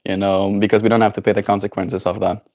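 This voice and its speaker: A man's voice: on the low side, level tone, fast talker, darkish tone. A man from South Africa with a South African accent, hosting a casual livestream chat and talking in a reflective, meandering way that keeps checking in with "right?". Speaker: livestream host.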